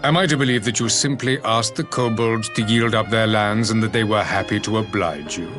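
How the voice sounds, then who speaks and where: An actor playing a proud, uncompromising emperor in a fantasy video game, with grave, oratorical and archaic formal speech. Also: male voice, fairly low pitch, a little fast, music in the background, close to an everyday voice. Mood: scornful, firm. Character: proud, uncompromising middle-aged male emperor, stern and disdainful.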